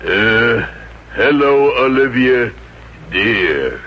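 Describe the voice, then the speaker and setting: Stammering henpecked husband, wildly swooping voice. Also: male voice, medium pitch, very animated, extremely slow, heavily acted. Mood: flustered, timid. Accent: American. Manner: hesitant, stammering, flustered. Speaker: actor in a radio comedy. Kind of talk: 1950s American radio comedy acting. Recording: muffled 1950s radio recording.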